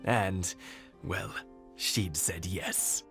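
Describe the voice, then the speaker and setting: A kindly, aged husband from a video game, in a low voice. He reminisces in a measured, thoughtful way with a gentle teasing streak, over prominent background music. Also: exaggerated voice. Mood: fond, nostalgic.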